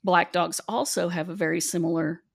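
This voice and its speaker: A woman's voice: fairly low pitch, fairly even intonation, fairly quick, creaky. A middle-aged woman from West Virginia with an Appalachian accent, telling stories in a chatty, laughing way. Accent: Appalachian American. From West Virginia, USA. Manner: chatty, laughing, acts out quoted voices. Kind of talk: casual storytelling chat.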